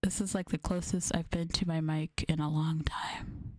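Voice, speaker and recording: A soft-spoken woman chatting casually and playfully with viewers as the host of an ASMR livestream. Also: low voice, flat delivery, normal speed. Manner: soft-spoken, playful, drifting into close-mic whispers. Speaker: VTuber streamer and host.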